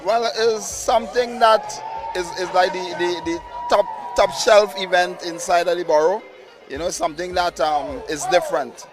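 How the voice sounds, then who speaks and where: A man chats haltingly with a reporter at an outdoor party. His voice sits fairly high. Loud music and a chattering crowd fill the space behind him. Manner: relaxed, halting. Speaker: event guest.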